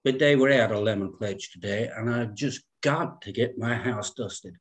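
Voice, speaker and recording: A man acts out a fussy society woman of fifty in a table read. The delivery is genteel and condescending, with drawn-out phrases and false sweetness. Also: lowish voice, lightly acted. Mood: smugly superior. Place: indoor room at home, on a video call.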